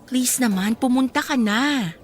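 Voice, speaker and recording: An actress playing an insistent, scheming bride who coaxes and pleads, in an emotional radio drama style. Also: female voice, on the high side, a little slow, close to an everyday voice. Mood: pleading. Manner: coaxing, wheedling persistence. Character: insistent, scheming bride, pleading with her resentful half-sister.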